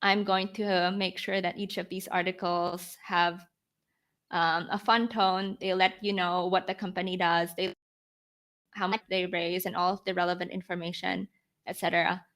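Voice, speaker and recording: A woman's voice: on the low side, flat delivery, normal speed, fairly bright tone. A 20-year-old woman from the Philippines giving informal, conversational interview answers as a podcast guest, often posing questions to herself as she explains. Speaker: podcast guest, newsletter founder.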